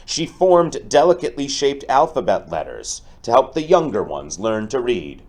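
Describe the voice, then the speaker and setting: A man teaching through a storybook read-aloud, speaking deliberately and explaining things directly to his pupils. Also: mid-range voice, very animated, darkish tone.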